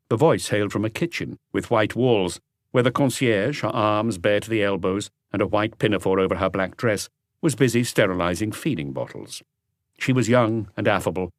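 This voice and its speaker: A man's voice: on the low side, no echo, clean studio sound. A man reading scripted literary narration for a mystery audiobook. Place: close, dry recording space.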